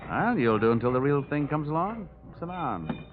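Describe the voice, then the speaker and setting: An actor playing a wry, hard-boiled insurance investigator with an American accent and a sardonic manner, in an old radio detective drama. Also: male voice, fairly high pitch, big pitch swings, moderate pace. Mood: flip. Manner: wisecracking, sardonic. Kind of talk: 1940s-50s radio detective drama, narration and dialogue. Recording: dull vintage radio recording with music.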